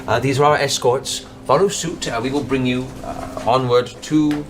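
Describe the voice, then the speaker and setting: A man voicing a fussy, formal elderly temple envoy in a tabletop game, speaking in a halting, ceremonious way. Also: medium pitch, fairly even intonation, pitched above the performer's own voice, lightly acted. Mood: measured, officious. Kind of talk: tabletop roleplay in character.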